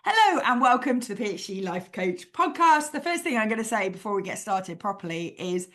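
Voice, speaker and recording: A woman hosting a podcast as a PhD life coach, her voice a little croaky after flu, talking in an upbeat, chatty way with frequent laughs. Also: medium pitch, very animated, brisk, a bit bright. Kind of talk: casual solo podcast advice.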